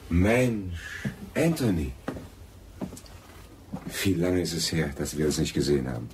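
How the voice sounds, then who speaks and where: Radio drama gentleman, bass voice, smooth-talking and patronising. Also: very animated, a little slow, slight background noise. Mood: jovial. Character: smooth-talking, condescending false friend, a gentleman. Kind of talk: German radio drama acting.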